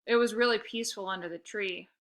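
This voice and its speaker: A woman narrating a children's story aloud with expressive, scripted delivery. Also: mid-range voice, fast talker.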